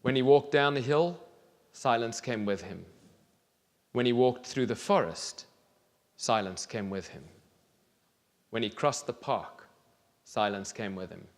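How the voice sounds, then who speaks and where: A man in his thirties reads a children's story aloud on stage in a deliberate, expressive way with pauses. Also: mid-range voice. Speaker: children's author reading aloud. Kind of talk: storybook reading to an audience. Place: large theatre stage, indoors. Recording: reverberant theatre hall.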